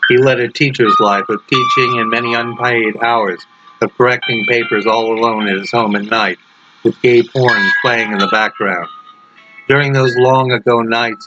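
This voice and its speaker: A man reading his own story aloud as a dramatic narration, with dark humour and wry, deliberate phrasing. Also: medium pitch, dull audio. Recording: loud music under the voice. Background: prominent background music.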